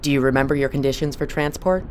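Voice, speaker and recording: A woman playing a composed, authoritative spaceship captain in an audio drama, measured and businesslike. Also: very deep voice, darkish tone, a little hiss or hum. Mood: calm, probing. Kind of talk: naturalistic audio drama acting.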